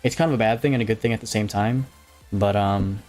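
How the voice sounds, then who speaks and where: A man's voice: on the low side, quick pace, a little mellow, faint music behind. A man with a slight Hawaiian accent, chatting casually on a game live stream, rambling with fillers and restarts as he answers viewers. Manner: rambling, many fillers and restarts, reading chat aloud.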